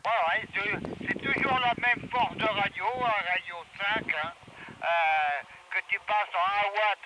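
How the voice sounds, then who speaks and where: A man with a high voice comes through a walkie-talkie speaker, with clear noise around it. He chats casually as a radio operator, hesitating and repeating himself. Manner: halting, repetitive. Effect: two-way radio. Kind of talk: casual radio check chat. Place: heard through handheld radio outdoors. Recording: noisy walkie-talkie speaker picked up outdoors.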